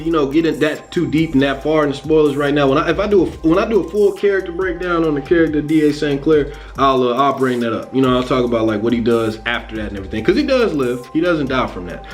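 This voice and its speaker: American man, higher-than-average voice, casual commentary, slangy and profane, animated conversational delivery, faint background music. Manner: animated, conversational, acts out lines and sound effects. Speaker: host of a comics and animation channel. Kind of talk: casual fan commentary, retelling a story.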